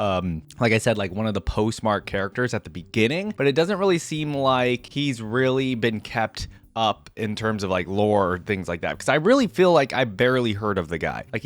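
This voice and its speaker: A man's voice: medium pitch, a bit bright, no echo. A man hosting a reaction channel, speaking informally and enthusiastically, moving between casual commentary and reading aloud. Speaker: host of a character lore reaction channel.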